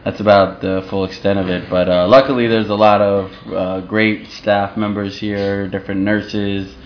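Young man, very low voice, monotone, halting and laid-back, dull lo-fi, faint noise. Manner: halting and laid-back, pausing often mid-sentence. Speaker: surgical resident. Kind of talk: interview answers.

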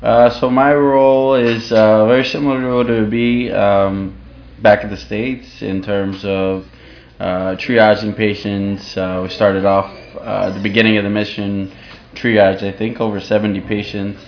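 A young man, a surgical resident, answering interview questions in a halting, laid-back way and pausing often mid-sentence. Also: fairly low pitch, flat delivery. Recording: dull lo-fi sound with faint background noise.

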